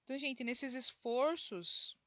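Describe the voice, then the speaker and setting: A woman's voice: high voice, measured pace, a bit bright, no echo, dull audio. Brazilian woman teaching a class, dry sound. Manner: explanatory, conversational, frequent tag questions and restarts. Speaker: high school geography teacher. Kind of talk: recorded online lesson.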